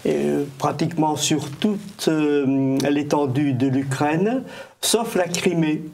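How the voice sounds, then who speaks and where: A man talks through history as a studio guest, in a language that is not his mother tongue. His voice sits somewhat high, and he moves at an unhurried pace. He hesitates constantly, filling the gaps with fillers and restarts. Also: a little mellow. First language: not French. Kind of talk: studio discussion.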